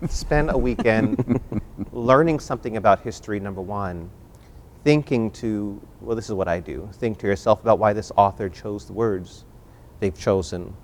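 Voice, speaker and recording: A man with a fairly low voice acts as host and moderator of an arts event. He addresses viewers and panel directly, with emphatic, theatrical phrasing that leans on repetition. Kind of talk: warm-up hosting talk to a home audience and panel.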